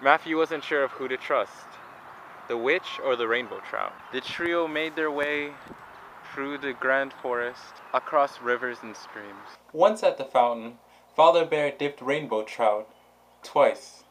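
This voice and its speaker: Guy doing vlog narration n storytelling, normal pitch, bit of background noise. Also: unhurried, fairly bright tone, low-quality recording. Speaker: vlogger.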